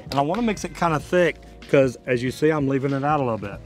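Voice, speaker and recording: Folksy plumber, music behind. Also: male voice, mid-range voice, fast talker. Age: 50s to 60s. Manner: folksy, explanatory. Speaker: plumber and video host. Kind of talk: casual hands-on tutorial talk. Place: outdoors beside a brick house.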